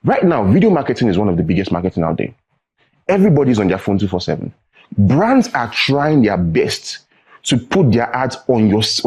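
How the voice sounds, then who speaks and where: A man's voice: lowish voice, a little mellow, breathy edge, rough recording. A man with a Nigerian accent, a studio guest in a TV interview, explaining his points emphatically and repeating phrases for stress. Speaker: tech academy founder, guest.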